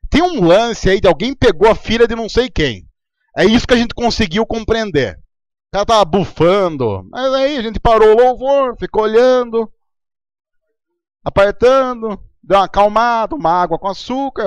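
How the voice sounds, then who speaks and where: Brazilian male pastor, high animated voice, dry close sound, chatty sermon delivery. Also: moderate pace, no echo, lo-fi sound. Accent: Brazilian Portuguese. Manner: conversational preaching with anecdotes.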